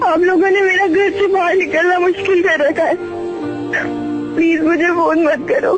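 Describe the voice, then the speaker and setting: A woman's voice: extremely high pitch, steady tone, normal speed. A young woman speaking emotionally in a phone conversation. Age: young adult. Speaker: radio prank call recipient. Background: background music.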